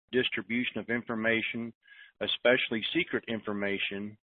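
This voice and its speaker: A man hosting an informal conference call in a halting way, talking and reading aloud over the telephone. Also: on the low side, no room sound. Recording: thin, narrow phone line.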